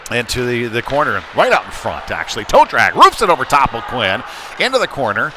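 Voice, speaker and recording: A man doing live play-by-play commentary of an ice hockey game, often pausing mid-phrase. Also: medium pitch, huge pitch swings, bright, forceful tone. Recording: clearly noisy sports venue background.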